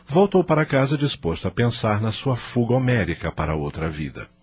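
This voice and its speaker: A man's voice: mid-range voice, big pitch swings, a little fast. A man narrating a scripted audio novel as its storyteller.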